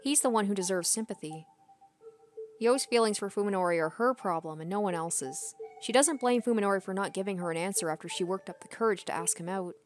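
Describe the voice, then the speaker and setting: A woman's voice: mid-range voice, a little fast. A woman streaming a game, reading visual novel narration aloud with casual asides. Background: soft game music.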